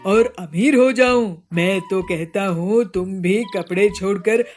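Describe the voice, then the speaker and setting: A woman's voice: mid-range voice, takes it slowly, a little mellow, soft background music, natural voice. A woman voicing a stingy, scheming male cartoon bird who talks in a bossy, self-satisfied, wheedling way. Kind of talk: cartoon voice acting.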